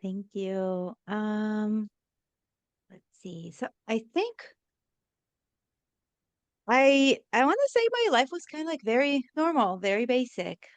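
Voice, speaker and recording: A woman in her thirties, from Israel and born in Russia, telling her life story as a podcast guest, animated and full of fillers, acting out her past self in little quotes. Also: on the high side, slow pace, pauses often, no room sound. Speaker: podcast guest, forest therapy guide. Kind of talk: personal storytelling interview.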